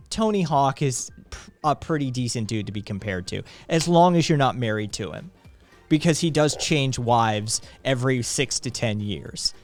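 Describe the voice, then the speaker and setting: A man in his late thirties hosting a live stream, chatting casually with viewers in a rambling, profane way full of fillers. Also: fairly high pitch. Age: late 30s. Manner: profane, rambling, laid-back with frequent fillers. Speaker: streamer host.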